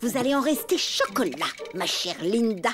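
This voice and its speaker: A woman's voice: on the high side, big pitch swings, moderate pace. An actress playing a scheming, showy, boastful cartoon mother with a pompous, mock-sweet manner. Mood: sugary scheming. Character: scheming, boastful, showy middle-aged mother, sugary rival. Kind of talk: French children's cartoon voice acting.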